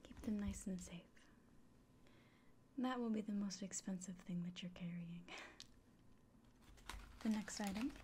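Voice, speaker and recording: A woman playing a friendly fantasy innkeeper in a soft, breathy, often whispered ASMR roleplay, speaking gently and without hurry. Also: medium pitch, heavy background noise, close to an everyday voice. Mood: careful, earnest. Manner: gentle, unhurried, caring. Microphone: close microphone.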